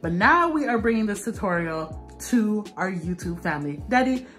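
A woman's voice: medium pitch, sing-song, normal speed, fairly bright tone. A woman hosting a home cooking video, chatty, upbeat and teasing while she films in the kitchen. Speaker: host. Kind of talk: casual cooking show chat. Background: background music.

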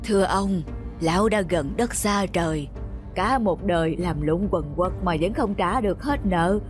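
A man's voice: high voice, measured pace, music in the background. A man playing a frail old peasant in a cartoon folk tale, humbly pleading. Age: older.

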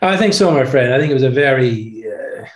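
A man in his fifties, a podcast guest and former commando, telling army stories in casual, animated chat over a video call. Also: medium pitch, a little fast. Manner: chatty, animated anecdotes with frequent "you know". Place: indoor room with a brick wall. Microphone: video call webcam. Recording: roomy echo.

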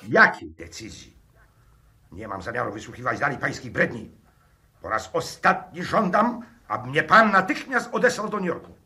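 Sharp, indignant hot-headed professor. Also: male voice, mid-range voice, big pitch swings, moderate pace. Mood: outraged. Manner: emphatic, demanding, scornful. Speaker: radio drama actor. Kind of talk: 1960s radio drama acting.

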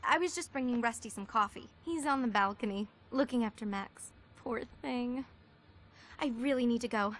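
An actress playing a gushing, chirpy young waitress in a video game. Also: female voice, on the high side, moderate pace, close to an everyday voice. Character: bubbly starstruck young waitress.

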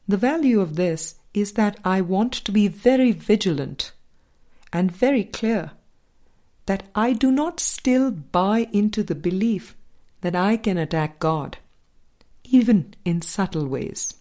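A woman narrating an audiobook, reading from a script in a measured manner. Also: medium pitch, animated, warm tone.

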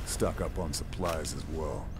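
An actor playing a terse, calm male soldier in a video game, with a very deep voice. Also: a little slow. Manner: terse, calm military delivery. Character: stoic male soldier sniper, middle-aged. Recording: game audio under music.

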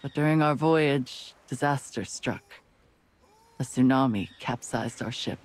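A man's voice: mid-range voice, unhurried, natural voice. An actor playing a guilt-ridden but resolute young male adventurer in a video game. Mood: regretful. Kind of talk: video game dialogue acting.

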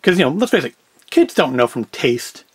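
A man's voice: a little high, big pitch swings, normal speed, slightly rough, dry recording. A man, chatting casually through a food review in a wry, self-deprecating, rambling way. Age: 40s. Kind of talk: casual review chat to camera.

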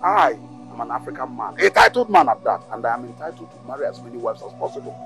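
A man's voice: medium pitch, quick pace, fairly bright tone, natural voice. An actor playing a boastful older titled man, bossing people around and coaxing his new wife. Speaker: groom. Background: music.

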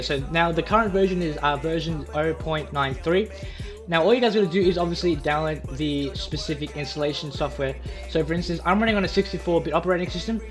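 A young man hosting a casual tutorial in a chatty, eager, repetitive way. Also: fairly high pitch. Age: young adult. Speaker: YouTube tutorial host.

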